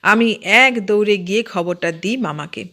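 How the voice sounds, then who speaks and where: Female narrator for humorous fiction, slow delivery, middle-register voice. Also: medium pitch, bright, forceful tone. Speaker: audiobook narrator. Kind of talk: scripted narration of a humorous story.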